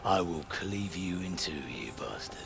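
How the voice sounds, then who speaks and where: An actor playing a huge, menacing, mocking bandit in a video game cutscene, taunting and foul-mouthed. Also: male voice, sits low, animated, creaky, exaggerated voice. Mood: menacing disbelief. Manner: taunting, slow, swinging from shouts to growls. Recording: loud music behind.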